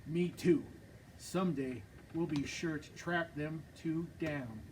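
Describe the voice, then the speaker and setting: A man's voice: fairly high pitch, slow pace, a little mellow, slight background noise. A man reading a script at a table reading, playing a scheming outlaw who plans a town robbery.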